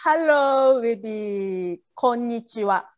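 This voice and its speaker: A woman's voice: fairly high pitch, lively intonation, slow, darkish tone. A Japanese woman with a Japanese accent, encouraging as she casually teaches phrases bit by bit. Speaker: guest language tutor.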